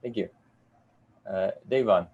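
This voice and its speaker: A man's voice: fairly low pitch, slow, vocal fry, breathy edge, narrow, tinny audio. A middle-aged man moderating an online panel from a home basement over a video call, upbeat with many "uh" fillers. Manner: upbeat, warm in addressing guests, frequent "uh" fillers.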